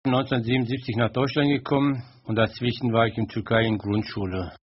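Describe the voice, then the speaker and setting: A man in his fifties with a husky, breathy voice, speaking in simple, halting phrases. The sound is dull and lo-fi, like an old radio recording. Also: mid-range voice, steady tone. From Turkey. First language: Turkish. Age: 50s. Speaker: studio guest. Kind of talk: casual studio chat.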